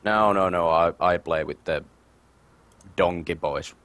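A man's voice: low voice, unhurried, fairly bright tone, no echo. A man from Finland with a Finnish accent, chatting casually as a guest, joking and searching a little for words. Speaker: guest, gamer. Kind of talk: casual chat.

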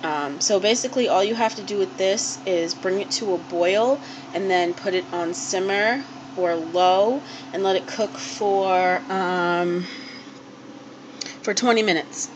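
A woman's voice: mid-range voice, measured pace. Chatty young woman in a home kitchen. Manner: chatty and unpolished, many ums and restarts. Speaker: home cook vlogger. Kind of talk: casual cooking demonstration. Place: small home kitchen, indoors. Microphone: handheld camera or phone held close. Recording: home video with background noise.

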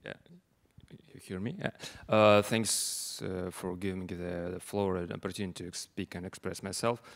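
Russian-accented man, flat and low. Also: creaky. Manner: halting, many fillers. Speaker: panelist. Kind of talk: conference panel remarks. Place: large conference room. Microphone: handheld microphone.

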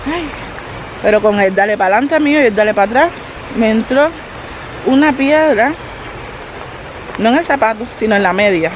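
A woman's voice: fairly high pitch, animated, normal speed, pauses often, lo-fi sound. A woman vlogging as she walks outdoors, holding the camera herself and chatting straight to her viewers. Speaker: family vlogger. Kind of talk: casual walking vlog. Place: outdoors by a road, walking. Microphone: handheld camera. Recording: noisy outdoor street audio. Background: traffic and street noise.

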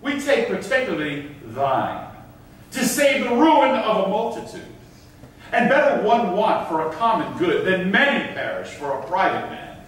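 A man as a pompous governor, high-pitched and swooping. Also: sing-song, normal speed, fairly bright tone. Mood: stern. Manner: declamatory, pompous. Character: stern, sanctimonious, unyielding governor of Malta. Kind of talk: theatrical staged reading of Elizabethan verse. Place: theatre stage in a hall. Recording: echoey hall, distant mic, faint noise.

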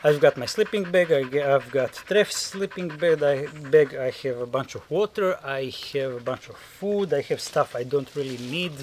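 A man's voice: mid-range voice, moderate pace, hardly any pauses. A man in his thirties talking casually and matter-of-factly to camera as an outdoor vlog host, recorded in a forest. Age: 30s. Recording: outdoor camera audio with clear background noise.

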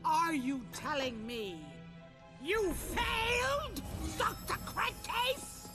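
An actress playing an imperious, menacing queen in a video game, commanding, with drawn-out delivery. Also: extremely high pitch, expressive, music in the background, full character voice. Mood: furious. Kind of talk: animated video game villain acting.

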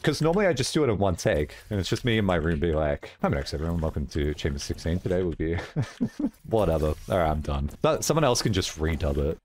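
A young man in his twenties streaming a game from a small home room, chatting casually, swearing and laughing often, close to a boom mic. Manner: animated, profane, laughs a lot. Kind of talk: casual live-stream chat. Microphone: boom mic close up, wearing headphones.

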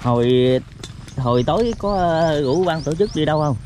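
A high-pitched man with a southern Vietnamese accent chats and banters casually. He is recorded outdoors on a roadside, with clear background noise. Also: lively intonation, normal speed. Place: outdoors on a rural roadside by water. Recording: noisy open-air rural roadside.

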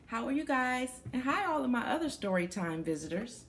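A woman's voice: high voice, very animated, fairly quick. A young adult woman reading a picture book aloud to children, stopping to put questions to her young listeners. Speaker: children's story reader. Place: small plain room indoors.

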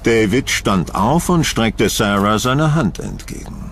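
A man narrating a horror audio drama in a dramatic, deliberate storytelling manner, as a brooding gothic storyteller. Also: on the low side, lively intonation, a bit bright, slight background noise. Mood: suspenseful.

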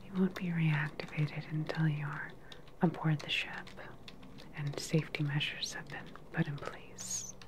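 Casting: woman to play evil sci-fi lab scientist, low, unhurried breathy whisper, gentle and clinical, ASMR roleplay. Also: steady tone, poor audio. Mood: soothing, clinical. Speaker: ASMR performer. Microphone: close microphone. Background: sci-fi lab ambience.

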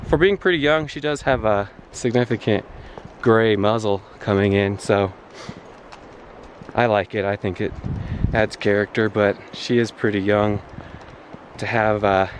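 A man of thirty chatting casually and haltingly to a handheld camera while walking outdoors on a trail, in an easygoing way. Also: fairly low pitch, steady tone. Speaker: vlogger. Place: outdoors on a wooded canyon trail. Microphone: handheld camera at arm's length. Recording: clear outdoor background noise on trail.

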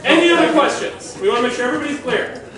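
Very high-voiced man in an echoey pavilion. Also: racing. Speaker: game organizer explaining rules. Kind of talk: spoken rules briefing to a group, with questions. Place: open-sided concrete park pavilion. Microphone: distant camera mic. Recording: echoey park pavilion, far camera, crowd chatter.

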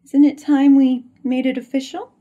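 A woman's voice: sits high, flat delivery, normal speed, dark tone, lo-fi sound, lightly acted. A woman playing a sultry, insistent mistress in an audio drama. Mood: seductive. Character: sultry mistress pressing her secret lover.

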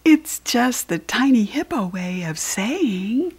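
Children's story reader: American woman, breathy, unhurried delivery with a lively swooping pitch. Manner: expressive, addressing small children. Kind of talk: read-aloud storytelling for young children.

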